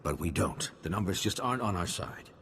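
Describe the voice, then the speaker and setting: Game security chief: gruff, grim man, very deep husky voice, heavy reverb with music. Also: lively intonation, a little fast, a bit bright, heavily acted. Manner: grim, blunt. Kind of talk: scripted video game acting. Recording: long reverb, music underneath.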